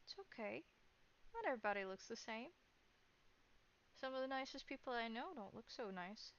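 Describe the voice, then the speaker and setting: A woman running a horror tabletop game as game master, narrating in halting, descriptive phrases. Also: fairly high pitch, fairly bright tone. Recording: dull, lo-fi sound.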